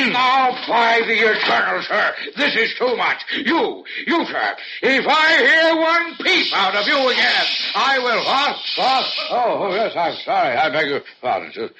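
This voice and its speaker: An actor playing a pompous, easily outraged old colonel in a radio drama, husky and stammering with bluster. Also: male voice, high voice, big pitch swings, measured pace, pushed and bright, a little hiss or hum, full character voice, low-quality recording. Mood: indignant. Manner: pompous, stammering, repeats "sir". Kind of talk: 1940s-50s radio drama acting.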